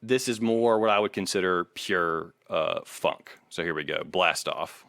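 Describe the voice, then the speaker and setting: A man's voice: low-pitched, a little slow, gravelly, fairly bright tone. A man hosting a podcast, talking music casually in an analytical, opinionated way with some swearing. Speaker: podcast host. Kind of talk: casual music critique chat.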